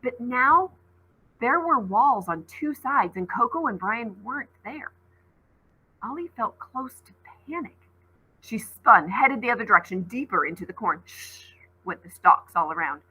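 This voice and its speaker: A woman teacher reading a novel aloud to her class, in an expressive storytelling manner. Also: measured pace, slightly rough, low-quality recording. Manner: expressive read-aloud, voices rising high on called names.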